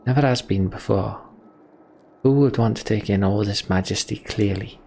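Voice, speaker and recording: A man's voice: fairly low pitch, moderate pace, vocal fry, mellow, slight background noise. A man voicing a hard-drinking, roguish axe-thrower from a fantasy game, with sardonic, grumbling, colloquial talk. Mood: wry, boastful.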